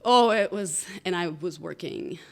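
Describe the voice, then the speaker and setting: Woman in her thirties, animated guest telling her story. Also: lowish voice, measured pace, creaky. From Canada. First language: Russian. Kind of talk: casual podcast interview.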